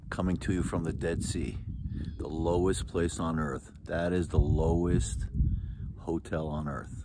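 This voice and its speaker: Brief: man, 40s, very low voice, halting selfie-vlog delivery, wind noise on the track. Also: fairly even intonation, dark tone. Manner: halting, many uh fillers and restarts. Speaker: vlogger. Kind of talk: casual travel vlog. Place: outdoors on a desert lookout. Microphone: phone selfie at arm's length.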